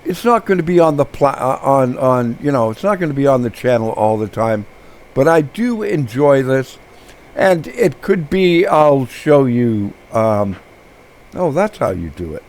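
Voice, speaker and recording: Slow-talking hoarse man hosting a gameplay channel. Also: medium pitch, sing-song, darkish tone. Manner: rambling, many fillers and restarts. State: hoarse, losing his voice. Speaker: gaming channel host. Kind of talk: casual gameplay commentary.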